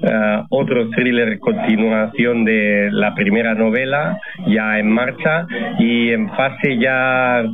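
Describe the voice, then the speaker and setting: A retired man in his sixties whose first language is Catalan, a novelist guest telling his stories in a measured, thoughtful way. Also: mid-range voice, steady tone. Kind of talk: radio interview. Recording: phone-like radio broadcast with crowd behind. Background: crowd chatter.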